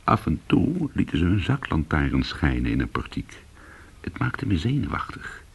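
An actor playing a weary, hard-boiled former cat burglar, telling his story in an intimate, brooding and deliberate way in a radio play. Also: extremely low pitch, big pitch swings, gravelly, breathy edge, heavily acted. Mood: agitated, tense.

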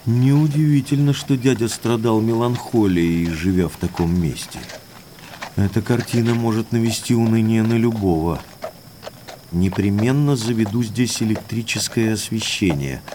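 An actor playing an energetic young baronet in an audio drama, speaking in a brisk, expressive way. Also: male voice, lowish voice, slight background noise, lightly acted. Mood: uneasy. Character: energetic young baronet, heir to a gloomy castle.

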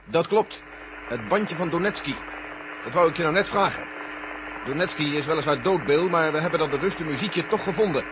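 An actor playing an authoritative general running a space mission in a vintage science-fiction radio drama, speaking deliberately. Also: male voice, fairly high pitch, lightly acted. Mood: commanding, urgent. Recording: noisy, dull old radio recording.

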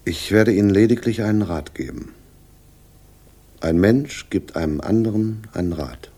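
An actor in a radio crime play playing a shrewd, sardonic police inspector, speaking with dry, deliberate condescension and a husky voice. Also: male voice, lowish voice, lively intonation, dark tone. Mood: grave warning. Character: sardonic, shrewd male police inspector, world-weary but superior. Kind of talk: 1960s German radio play acting, dialogue.

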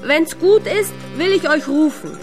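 A woman's voice: sits high, measured pace, over music, pitched above the performer's own voice. A woman voicing gullible, eager villagers in a storybook reading, with emphatic exclaiming. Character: gullible, eager villagers and shepherd from a fairy tale.

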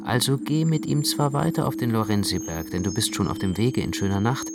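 A man narrating literary prose for a radio production in a dramatic, expressive way. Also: on the low side, a little fast, creaky, over loud music.

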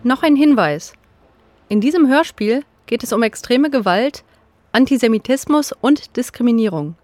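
Female presenter with a slightly high voice for a serious scripted podcast introduction. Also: lively intonation, moderate pace, a little mellow, no room sound, faint music behind.

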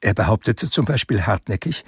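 An actor playing a sensitive blind man who narrates his memories in a measured, wistful radio drama style. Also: lowish voice, expressive, husky, no echo, clean studio sound. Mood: reflective. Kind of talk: literary radio drama narration in first person.

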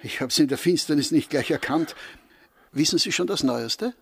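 Radio drama: eager small-town gossip, slightly rough husky tone, no room sound. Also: male voice, on the high side, animated, normal speed, no room sound, heavily acted. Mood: eager, confiding. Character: eager, gossipy village townsman.

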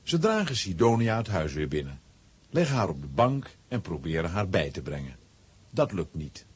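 A man's voice: low-pitched, very animated, normal speed, husky, full character voice. A man narrating a scripted children's audio drama as its storyteller. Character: storyteller relating a comic adventure.

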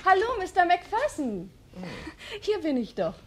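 A woman's voice: extremely high pitch, big pitch swings, a little slow, a little mellow, heavily acted. An actress playing a chatty, flirtatious woman of about twenty in a radio crime drama, eager to impress. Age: 20s. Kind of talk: 1970s German radio drama acting.

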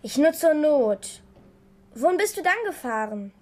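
High-voiced, insistent schoolgirl. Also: expressive, measured pace, dark tone. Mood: chiding. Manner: matter-of-fact, persistent, chiding. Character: earnest, insistent schoolgirl daughter chiding her father. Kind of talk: German children's radio play acting.

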